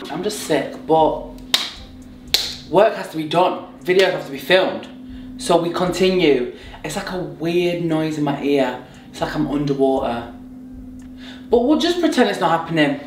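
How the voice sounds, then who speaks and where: A young woman with a hoarse, croaky voice from a sore throat, chatting playfully through a clothing try-on haul. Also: sits low, lively intonation, measured pace, pauses often, a little mellow, faint music behind. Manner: chatty, bubbly, playful asides. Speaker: fashion vlogger. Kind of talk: casual try-on haul chat. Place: bright indoor room at home. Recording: echoey room.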